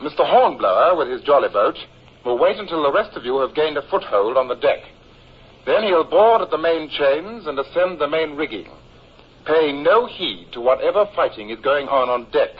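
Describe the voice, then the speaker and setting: Brisk, higher-voiced naval lieutenant. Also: male voice, animated, slightly rough. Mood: brisk, businesslike. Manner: clipped, methodical briefing. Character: brisk, orderly first lieutenant of a navy frigate. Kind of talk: 1950s radio drama acting. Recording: muffled old radio recording.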